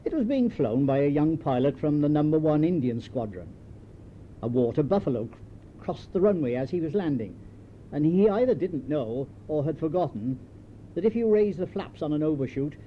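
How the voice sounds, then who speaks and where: An older man with a British English accent reads his own memoir as scripted narration, in a measured storytelling manner with dry understatement. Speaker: memoir author reading his own writing. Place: home tape recording. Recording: dull home tape with clear rumbling noise.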